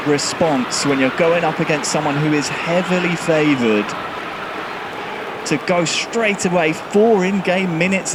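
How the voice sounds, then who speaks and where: A man's voice: on the high side, very noisy. A man commentating live on an esports match as host, speaking into a headset. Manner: builds to excited calls. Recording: music playing behind.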